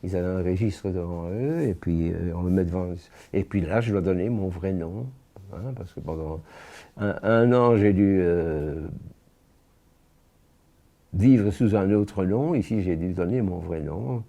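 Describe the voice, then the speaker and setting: An elderly man speaks in a low, very dark and mellow voice. He recounts slowly and gravely, often pausing and restarting his sentences as he searches for words. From born in France. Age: 80s. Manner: halting, with frequent restarts and pauses. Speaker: witness giving testimony. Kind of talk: spoken personal testimony.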